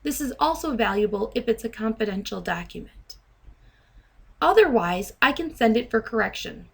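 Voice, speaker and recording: A woman trainer narrating an instructional software demonstration, speaking deliberately and enunciating dictated commands. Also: on the high side, animated, gravelly, slightly rough. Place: voice-over to a screen recording.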